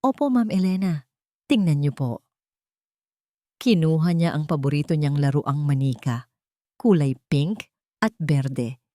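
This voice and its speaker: Low-voiced, mellow woman narrating. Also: dry recording, studio quality. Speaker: audiobook narrator. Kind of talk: scripted dramatic story narration.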